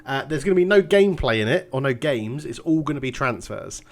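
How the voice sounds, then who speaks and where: A man's voice: mid-range voice, expressive, brisk. A man, congested and nasal from a cold, chatting casually through his football management game. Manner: chatty and rambling, self-mocking. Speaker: game commentator. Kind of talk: casual gaming commentary.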